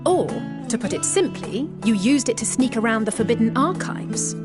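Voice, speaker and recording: An actress playing a sharp-witted, teasing adventurer in a game, with playful archaic phrasing. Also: female voice, on the high side, lively intonation, moderate pace, over loud music, lightly acted. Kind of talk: video game voice acting.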